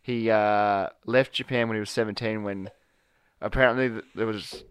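A man's voice: lowish voice, steady tone, normal speed, clear, resonant tone. A young man hosting a casual podcast chat, rambling and hesitant with many fillers. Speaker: podcast host.

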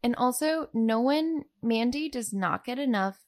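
Female co-host, higher-pitched, lively and giggly, informal film chat with quoted bits. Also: measured pace. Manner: animated, giggly, acts out quotes. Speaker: podcast co-host. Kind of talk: casual, gushing film chat.

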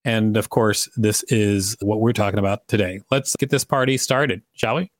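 A man's voice: on the low side, steady tone, moderate pace, dry recording, clean studio sound. A man hosting a podcast, reading an article aloud and adding casual commentary. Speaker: podcast host.

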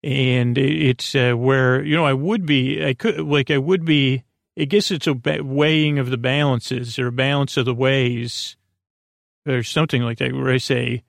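A man with a creaky voice hosting a bedtime podcast, meandering gently and hesitantly, often interrupting himself in a soothing way. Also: mid-range voice, no room sound, clean studio sound. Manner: soothing, gentle, soft-spoken. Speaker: podcast host. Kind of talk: rambling bedtime podcast monologue.

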